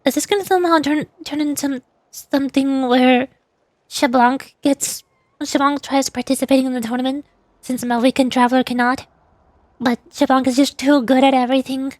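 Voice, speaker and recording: A woman's voice: high-pitched, steady tone, normal speed, darkish tone, no echo. A woman streamer giving casual, bemused game commentary, musing aloud and stretching words for emphasis. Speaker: VTuber streamer and amateur voice actor. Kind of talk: casual game commentary mixed with character voicing.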